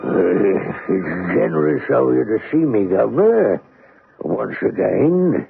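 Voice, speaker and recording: An actor playing a wizened old sailor, fawning and insinuating, in a radio drama. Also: male voice, on the low side, sing-song, slow pace, creaky, slightly rough, exaggerated voice. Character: wizened, cringing old sailor, sly and wheedling. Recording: muffled vintage radio broadcast.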